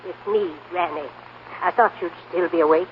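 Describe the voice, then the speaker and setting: A woman's voice: on the low side, normal speed, natural voice. An actress playing a blunt, feisty old pioneer grandmother who encourages firmly, in 1940s radio drama style. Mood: firm, comforting. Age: older. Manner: blunt, no-nonsense. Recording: tinny, noisy 1940s radio recording.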